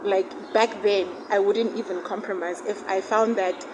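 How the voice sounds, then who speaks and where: A woman's voice: medium pitch, fairly even intonation, normal speed. Woman guest, frank casual podcast chat, noisy recording. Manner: frank, lots of fillers like "you know". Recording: noisy background.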